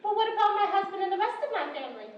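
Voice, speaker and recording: A woman's voice: extremely high pitch, big pitch swings, very fast, highs cut off. A woman playing a flighty, theatrical mother in a stage farce. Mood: breezy, coquettish. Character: flighty, theatrical mother juggling husband, son and suitor. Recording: echoey room, distant microphone.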